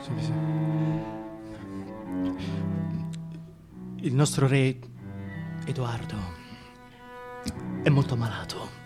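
An actor playing a scheming, bitterly sarcastic tyrant in a theatrical radio monologue, volatile and sardonic in delivery. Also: male voice, medium pitch, measured pace, loud music behind the voice, lightly acted. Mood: mock pious. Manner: sardonic, volatile, swinging from hushed musing to outbursts.

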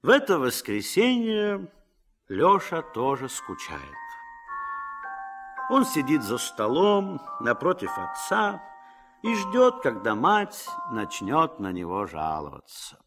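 A man narrating a literary radio drama as a gently ironic storyteller. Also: medium pitch, wildly swooping pitch, slow pace, music in the background, full character voice. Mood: wry, reflective.

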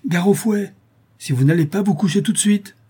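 Male reader as poor weary craftsman, exasperated, scolding, mellow tone, slightly raspy, storybook drama. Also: mid-range voice, moderate pace, voice pushed up, lightly acted. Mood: menacing shouting. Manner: pleading, scolding, raising his voice. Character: weary, exasperated poor gem-cutter, husband and father.

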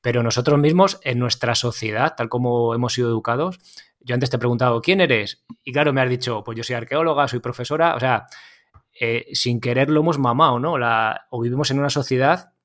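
Informal male interviewer from Spain, mid-pitched. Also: fairly quick, a bit bright. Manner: informal, hesitant, rambling questions. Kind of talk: casual conversational interview. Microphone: remote online call.

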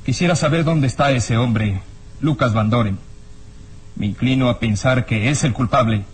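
An actor playing a serious, worried police inspector in a radio serial, with a sober, brooding, formal manner. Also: male voice, medium pitch, moderate pace, slight background noise, close to an everyday voice, rough recording. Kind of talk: radio serial drama acting.

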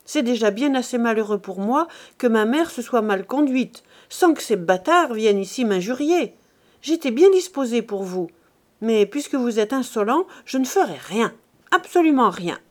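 A woman reading aloud, voicing a contemptuous male oil merchant who threatens and then turns icily calm. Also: a little high, moderate pace, natural voice. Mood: threatening.

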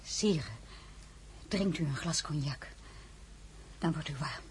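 A woman playing a composed, plain-spoken highborn lady in a radio drama, formal and courteous. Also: slow, a little hiss or hum. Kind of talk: Dutch-language radio drama acting.

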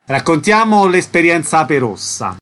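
A man's voice: fairly high pitch, big pitch swings, unhurried, a bit bright. A man presenting cultural events and interviewing guests as a radio host.

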